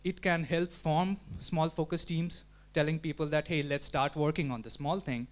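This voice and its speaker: A man's voice: on the high side, steady tone, moderate pace. A man giving an explanatory talk at a community meetup, thinking aloud with frequent restarts and filler words. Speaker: community presenter. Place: meetup room.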